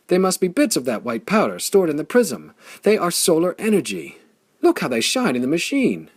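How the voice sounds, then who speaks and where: A man voicing a scarred young warrior hero in an audiobook, rasping and bitter when low, shouting when roused. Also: huge pitch swings, normal speed, no room sound, clean studio sound, exaggerated voice. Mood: elated. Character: scarred young male warrior hero, brooding then fiery. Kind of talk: audiobook character voice.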